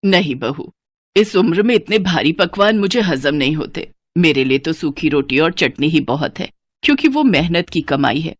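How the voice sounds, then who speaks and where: A woman's voice: sits low, animated, moderate pace, no room sound, pitched below the performer's own voice. A woman voicing a frugal, reproachful elderly mother-in-law in a cartoon moral story, with a weary, scolding manner. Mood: weary refusal.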